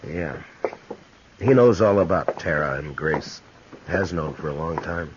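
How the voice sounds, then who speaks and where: An actor playing a sober, courteous frontier marshal in a 1950s radio western, hesitant and plain-spoken. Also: male voice, extremely low pitch, measured pace. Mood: concerned. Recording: muffled, noisy 1950s radio recording.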